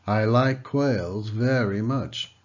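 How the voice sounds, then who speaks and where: A man's voice: on the low side, slow, dull audio, pitched above the performer's own voice. A man voicing a pompous but gracious king in a children's storybook, with grand, emphatic delivery. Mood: grandly pleased. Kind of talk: children's storybook character reading.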